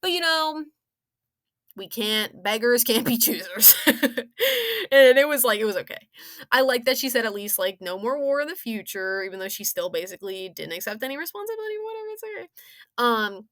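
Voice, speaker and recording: A young adult woman with a high, very bright and forward voice gives a casual, rambling review. She sounds opinionated and sarcastic, leaning on slang and playing up her emphasis. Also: expressive, fairly quick. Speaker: video game story reviewer.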